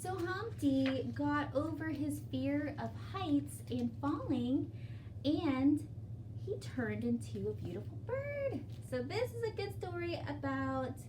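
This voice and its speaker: A woman's voice: sits high, lively intonation, slow, a little mellow. Woman hosting kids' storytime, distant noisy mic. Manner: simple, encouraging, questioning address to children. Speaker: children's library storytime host. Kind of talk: storytime talk to young children. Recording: distant mic with clear background noise.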